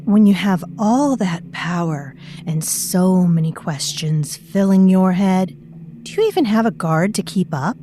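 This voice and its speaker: A woman's voice: fairly low pitch, expressive, unhurried, dark tone. A woman narrating an audio drama as a mysterious presence living in a girl's mind, musing darkly and speaking straight to the listener. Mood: brooding. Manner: musing, ominous, addresses the listener directly.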